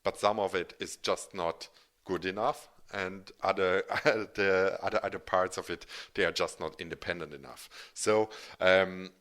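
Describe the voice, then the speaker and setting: A man's voice: deep voice, steady tone, a little slow, fairly bright tone. A man from Germany with a German accent, a native German speaker, giving an informal explanatory presentation as a financial educator, with hesitant, self-correcting phrasing. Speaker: financial educator and channel host.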